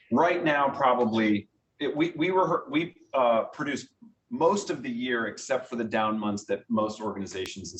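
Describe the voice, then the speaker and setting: A man speaking as a guest theater director, explaining things informally and answering audience questions in a conversational, filler-dotted way. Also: fairly low pitch. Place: meeting room with an audience, also on Zoom. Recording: echoey room, distant microphone.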